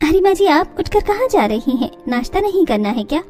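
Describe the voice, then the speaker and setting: Woman acting a high-pitched, crafty young daughter-in-law. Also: very animated, a little fast, darkish tone, faint music behind. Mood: proud, chirpy. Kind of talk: cartoon family-story acting.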